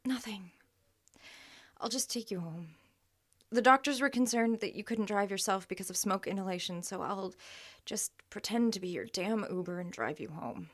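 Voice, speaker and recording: An actress playing an exasperated young girlfriend in an audio drama, speaking emotionally and haltingly, often dropping to a murmur. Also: mid-range voice, expressive, natural voice. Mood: sullen, withdrawn. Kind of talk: naturalistic audio drama acting.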